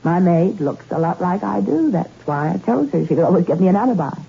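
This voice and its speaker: A woman's voice: fairly low pitch, lively intonation, fairly quick, gravelly, mellow, slightly rough, heavily acted. An actress playing a rich young woman confessing to murder, cool and bitter, in 1960s radio drama style on an old muffled recording. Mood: cool confession. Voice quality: cool, confessional, then anguished.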